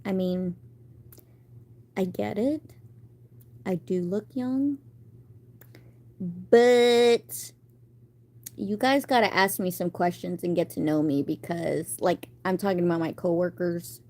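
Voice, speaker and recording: Slow, chatty woman in her thirties. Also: fairly low pitch, a little mellow. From United States. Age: 30s. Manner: chatty, rambling, reading and answering viewer comments. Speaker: live streamer. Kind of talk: casual live stream chat.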